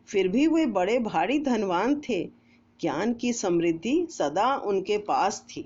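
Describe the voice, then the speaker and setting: A woman storyteller reading a moral essay aloud in a measured, deliberate way, with pauses between phrases. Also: fairly high pitch, a little mellow, highs cut off. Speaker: storyteller narrator.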